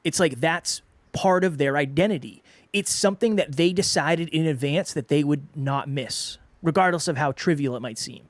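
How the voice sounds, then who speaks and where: A man's voice: on the high side, moderate pace, hardly any pauses, no echo. A man co-hosting a podcast in casual chat, earnest and a bit congested with a sore throat, often repeating himself to drive a point home. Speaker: podcast co-host.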